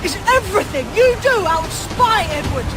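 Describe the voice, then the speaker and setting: A strong-willed woman of pirate days in a dramatic game scene. Her voice is very high, her words blunt and reproachful. Prominent music swells behind her. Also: animated, normal speed, a crowd in the background, low-quality recording. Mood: challenging. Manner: blunt, scolding. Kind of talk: dramatic video game cutscene acting.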